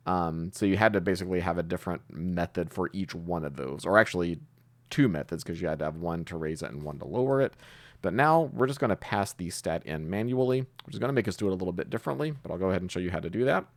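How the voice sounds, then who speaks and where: A man's voice: low voice, quick pace, dry recording. A man giving an informal coding tutorial, explaining step by step and thinking aloud as he types. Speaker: programming tutorial host.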